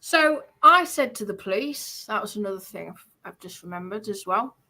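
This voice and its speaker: Bright, forceful woman, insistent. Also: mid-range voice, animated, moderate pace, rough recording. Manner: insistent, repeats phrases. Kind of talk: casual debate.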